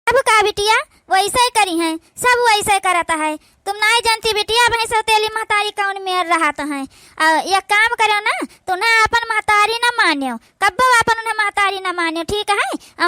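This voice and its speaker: A woman's voice: very high-pitched, normal speed, bright, forceful tone, no echo, clean studio sound. A woman with an Awadhi accent voicing a meddling, scheming cartoon aunt with an insinuating, falsely sympathetic manner. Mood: conspiratorial, coaxing. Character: scheming, meddling aunt turning a girl against her stepmother. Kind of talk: amateur comedy cartoon dialogue.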